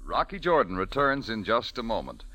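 A male announcer reading a promotional break in a crisp, polished style. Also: lowish voice, big pitch swings, moderate pace, over loud music. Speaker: radio announcer. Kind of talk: 1940s network announcing.

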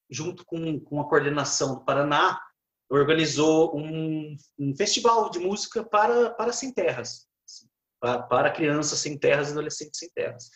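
A man's voice: on the high side, lo-fi sound. Brazilian man in an echoey room. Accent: Brazilian Portuguese. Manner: relaxed, halting, many fillers and restarts. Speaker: guest guitarist. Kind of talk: casual podcast chat. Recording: roomy echo.

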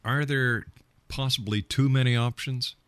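A man's voice: medium pitch, fairly even intonation, a little slow, fairly bright tone, no room sound. A man hosting a radio interview, asking probing questions in a direct, challenging manner.

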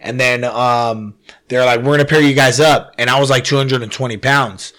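A man's voice: mid-range voice, steady tone, moderate pace, fairly bright tone. A young man telling a story in casual, profane podcast chat, full of slang and fillers. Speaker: guest telling his fight story.

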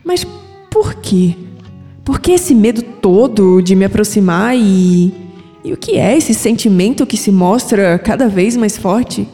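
A woman's voice: mid-range voice, expressive, unhurried, warm tone, in a large hall, faint music behind. A woman playing a troubled, introspective young woman with a Brazilian accent in an audio drama. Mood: pensive, anxious. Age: young adult. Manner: pensive, sighing. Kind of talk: scripted audio drama acting.

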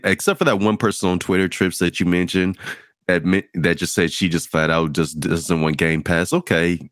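Deep-voiced man hosting a gaming chat. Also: fairly bright tone, no room sound, clean studio sound. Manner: measured, leans on fillers while steering the topic. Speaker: host. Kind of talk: casual podcast discussion.

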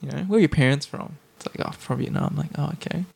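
Gravelly young Australian guy chatting. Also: on the high side, quick pace, a little mellow. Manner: laid-back, laughs while telling stories. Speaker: podcast co-host. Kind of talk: casual chat.

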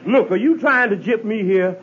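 Male straight man, high-voiced, proper and easily rattled, muffled vintage radio comedy sound. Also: normal speed, fairly bright tone. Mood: indignant. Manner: proper, exclamatory, earnest. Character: jittery, indignant male tenant, proper-spoken straight man. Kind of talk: 1940s-50s radio comedy acting. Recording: muffled, faintly noisy old radio broadcast.

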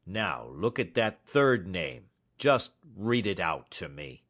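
A man voicing a belligerent, gruff poultry salesman who talks loud and combative in an audiobook story. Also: deep voice, very animated, slow, full character voice.

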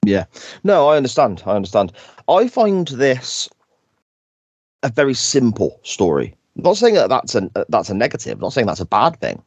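A man hosting a podcast in casual chat, croaky from a sore throat, rambling and correcting himself as he goes. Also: medium pitch, sing-song, normal speed, no echo. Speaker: podcast host.

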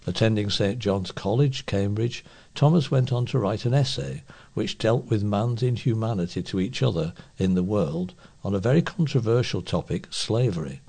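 A man with a British accent and a husky voice, reading feature articles aloud as a volunteer newsreader. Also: on the low side, lively intonation, moderate pace, few pauses, mellow. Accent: British English.

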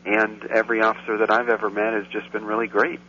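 American man, interview guest, low-ish and flat, hesitant, with a muffled, slightly noisy line. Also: fast. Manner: hesitant, with many fillers and restarts. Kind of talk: interview answers. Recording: muffled line with faint noise.

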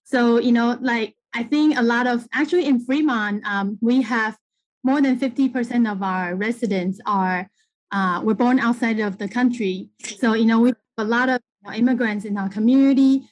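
Higher-pitched middle-aged woman from Taiwan, halting. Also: fairly even intonation, muffled recording. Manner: halting, conversational, punctuated with "you know". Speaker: political candidate, interview guest. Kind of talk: casual campaign interview talk.

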